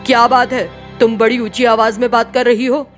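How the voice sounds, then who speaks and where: A woman's voice: a little high, level tone, moderate pace, soft background music, natural voice. A woman voicing a stern, accusing young husband with a reproachful manner. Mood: angry, reproachful.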